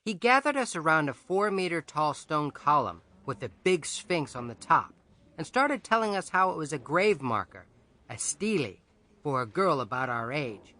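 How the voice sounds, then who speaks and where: Bright, animated male audiobook narrator. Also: a little high, moderate pace. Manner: conversational, wry, expressive. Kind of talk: first-person scripted narration in a boy's persona. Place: dry studio.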